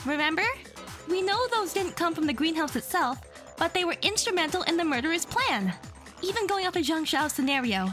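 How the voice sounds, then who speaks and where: Woman acting high-pitched, earnest young woman. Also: pushed and bright, full character voice. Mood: confident rebuttal. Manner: insistent, reasoning step by step. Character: Kokoro, earnest young woman arguing logically, persistent. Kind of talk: audio drama acting.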